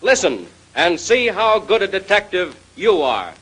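A man's voice: high-pitched, sing-song, unhurried, a bit bright, a little hiss or hum, poor audio. A man with an American accent announcing in scripted 1930s radio style.

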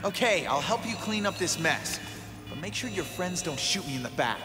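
Cocky young superhero, high lively voice. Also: male voice, normal speed. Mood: wry, joking. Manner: sarcastic, quippy. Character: young wisecracking male superhero, cocky yet good-hearted. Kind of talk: video game voice acting. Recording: roomy game audio under loud music.

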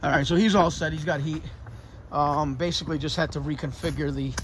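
A man in a mask talks viewers through a service call in plain, easygoing words, cursing now and then. His voice sits a little high, and faint background noise runs under it from a body camera. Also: steady tone, a little fast, fairly bright tone. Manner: casual, swears, talks to viewers while working. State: wearing a mask. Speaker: HVAC technician. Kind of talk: casual ride-along vlog. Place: homes, boiler room, then a car.

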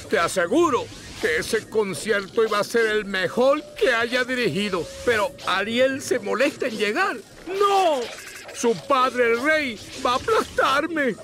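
A man voicing a fussy, anxious, melodramatic crab conductor in an animated game, with a theatrical, bossy manner. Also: very high voice, measured pace, a little hiss or hum, heavily acted. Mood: distraught. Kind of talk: animated video game voice acting.

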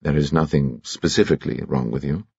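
A man's voice: rumbling low, gravelly, darkish tone, slightly rough, no room sound, dull audio, heavily acted. A man voicing a brusque, commanding society doctor. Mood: brisk. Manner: brisk, commanding.

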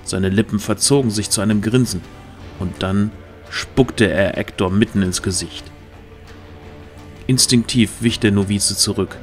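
A man narrates a fantasy audio play in a fairly low voice. It is scripted storytelling, with faint music running underneath. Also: fairly even intonation, measured pace.

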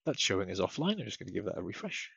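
A man giving a casual step-by-step software tutorial as a technical support presenter, recorded as a voice-over at his computer. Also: deep voice, very animated, racing, gravelly, slightly rough, dry recording. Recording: dull lo-fi close dry microphone.

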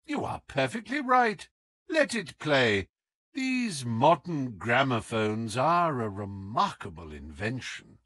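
A man voicing a suave, affable gentleman detective with a polite, teasing manner in an audiobook. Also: mid-range voice, very animated, takes it slowly, no room sound, clean studio sound, full character voice. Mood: pleased, playful.

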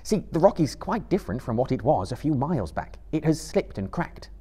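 A man's voice: on the high side, big pitch swings, a little fast, mellow, breathy edge, voice pushed up. A man voicing an earnest, determined young male hobbit who urges his companion on in a lifted voice. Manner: urgent, raised and pleading.

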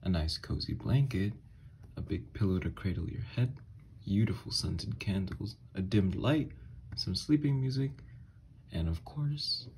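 A man voicing an obsessed, unhinged kidnapper scientist in an ASMR horror roleplay, soft and breathy, coaxing gently with quiet menace. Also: lowish voice, a little hiss or hum. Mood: doting, intimate. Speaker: ASMR audio roleplay voice actor. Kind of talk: ASMR horror roleplay monologue, partly whispered.

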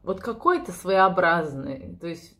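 Woman in her 30s, rambling casual vlog to a phone camera in a kitchen, slightly low voice. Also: big pitch swings, a little slow, a bit bright. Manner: chatty, rambling, self-ironic. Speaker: vlogger. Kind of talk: spontaneous casual chat to camera. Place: home kitchen, indoors. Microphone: camera or phone on the table.

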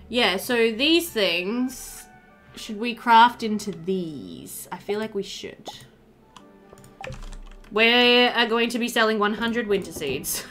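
A woman's voice: medium pitch, fairly bright tone. A young woman doing casual, chatty let's play commentary, thinking aloud as she plays, indoors at a computer. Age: young adult. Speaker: gaming video host.

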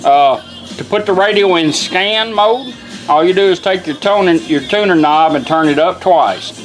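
A middle-aged man talks through a hands-on demonstration in a plainspoken, folksy way. His voice sits a little high for a man. Music plays clearly behind him. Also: lively intonation, moderate pace. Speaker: vintage radio restorer demonstrating a product. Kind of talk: informal hands-on product demonstration. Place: indoor workshop bench. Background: music from the radio.

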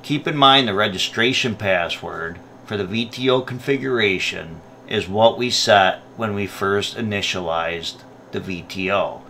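A man's voice: fairly low pitch, bright, forceful tone, a little hiss or hum. A man presenting a product tutorial, explaining each step in a plain, methodical way. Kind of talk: step-by-step instructional narration.

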